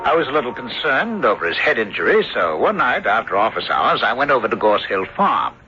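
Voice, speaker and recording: An actor playing a rational, skeptical country doctor who reasons firmly and narrates in old-time radio drama style. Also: male voice, mid-range voice, big pitch swings, brisk, natural voice. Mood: measured narrating. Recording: muffled, noisy old radio drama recording.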